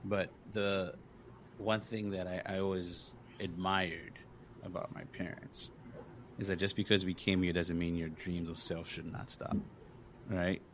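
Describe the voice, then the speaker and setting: A man's voice: deep voice, level tone, a little slow, a bit bright, slight background noise. A man from Congo hosting a podcast, talking earnestly and encouragingly in casual conversation, with lots of fillers. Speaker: podcast host.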